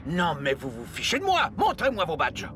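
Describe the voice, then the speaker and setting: An actor voicing a bossy, irascible military officer in a cartoon, barking with indignation. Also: male voice, a little high, huge pitch swings, moderate pace, music in the background. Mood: indignant, commanding. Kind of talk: cartoon voice acting.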